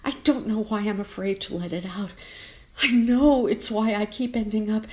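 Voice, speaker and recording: A woman's voice: medium pitch, normal speed, dark tone, close to an everyday voice, rough recording. A woman playing a homeless old bag lady in a radio horror drama, muttering plaintively to herself with theatrical indignation. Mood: plaintive, anxious. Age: older. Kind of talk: audio horror drama acting.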